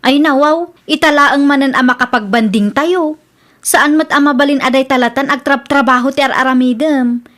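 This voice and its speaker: A woman's voice: high voice, normal speed, fairly bright tone. A woman with an Ilocano Filipino accent playing a nagging younger wife and mother in a radio comedy drama. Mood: nagging, pleading.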